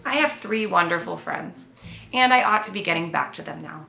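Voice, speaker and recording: A woman's voice: lowish voice, expressive, normal speed, creaky, sharp tone, reverberant, full character voice. A woman voicing a shy, awkward but brave young boy kitten in a children's storybook, hesitant and guarded.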